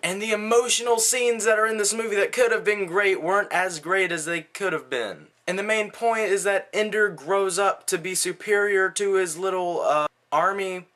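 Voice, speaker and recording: A man with a slightly high, bright, forward voice talks through a movie review. His delivery is conversational and blunt, full of everyday slang, like an amateur reviewer chatting to viewers. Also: moderate pace, few pauses. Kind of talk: casual video movie review.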